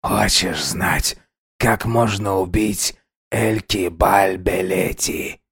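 A man voicing a dying, possessed man in a horror story, slow and halting, with a weak, husky, gasping voice. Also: low-pitched, fairly even intonation, fairly bright tone, no room sound, clean studio sound, exaggerated voice. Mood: sinister, menacing. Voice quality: husky, gravelly, whispered in places. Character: dying mutilated man, later a possessed speaking corpse.

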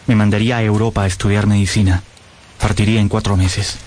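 A man's voice: on the low side, level tone, fast talker, a little mellow, a little hiss or hum, lightly acted. An actor playing a lovestruck young man who recalls his first love in an earnest, literary radio drama narration. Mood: wistful.

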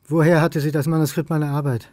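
A man's voice: fairly high pitch, flat delivery, quick pace, dark tone, natural voice. An actor playing a brooding, stubborn male historian in a radio crime drama, pressing for answers. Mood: probing. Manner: insistent, interrogating. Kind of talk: radio crime drama acting and inner monologue.

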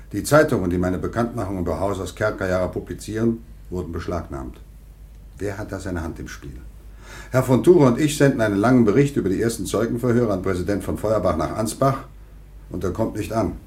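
A grave town mayor, with a low voice. He speaks with formal, deliberate authority and firm emphasis in a classic drama manner. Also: lively intonation, darkish tone. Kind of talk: German radio drama acting.

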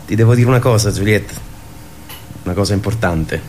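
An actor playing a dreamy, earnest young man in a radio play, telling his story with hushed pauses. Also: fairly low pitch, a little hiss or hum, natural voice. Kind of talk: radio theatre acting.

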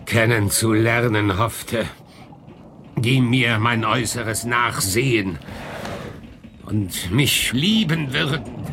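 A man's voice: lowish voice, gravelly, noisy background, heavily acted. An actor playing a bitter, anguished male monster in a German radio drama, brooding and swinging between whispers and outbursts.

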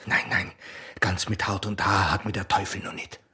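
An actor playing a tormented, honour-bound young border guard in a radio drama, with a husky, breathy voice and an Austrian accent. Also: male voice, sits low, level tone, moderate pace, sharp tone, exaggerated voice. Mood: anguished resolve. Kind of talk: intense radio drama acting in rural dialect.

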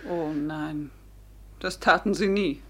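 A woman's voice: sits low, slow, fairly bright tone, breathy edge, full character voice. An actress playing a proud, indignant young noblewoman, declaiming with scorn in a classic German radio drama.

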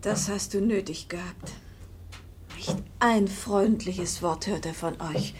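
A woman's voice: mid-range voice, takes it slowly, lightly acted. A woman in a 1950s German radio drama playing a reproachful, protective older wife and mother. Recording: noisy old radio recording.

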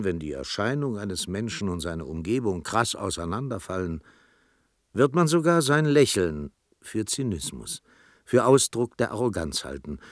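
A reflective storyteller narrates a literary radio play. His voice is deep and recorded very dry, and his delivery is measured and thoughtful. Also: dry recording, heavily acted. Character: reflective male storyteller recounting his own tale.

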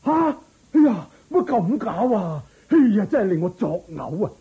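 A pompous, covetous old-world king in a Cantonese radio drama from Hong Kong. His husky, mellow voice swoops dramatically as he muses and exclaims. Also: fairly high pitch, big pitch swings, full character voice. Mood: disgusted outburst. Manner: theatrical, drawn-out musing and exclaiming. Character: covetous, pompous, excitable king, adult man. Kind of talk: Buddhist story radio drama acting.

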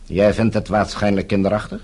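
An actor playing a smug, menacing villain in a radio play, with a husky voice and a suave, coolly threatening manner. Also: male voice, on the low side, flat delivery, fairly quick, darkish tone. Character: menacing, smug male foreign embassy villain.

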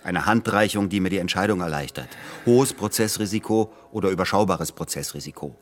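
An actor playing an impatient, demanding lawyer in a naturalistic radio play, insistent and exasperated, with a husky voice. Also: male voice, sits low, fairly quick, slight background noise, lightly acted. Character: impatient, demanding male lawyer commissioning research. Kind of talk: naturalistic German radio drama dialogue.

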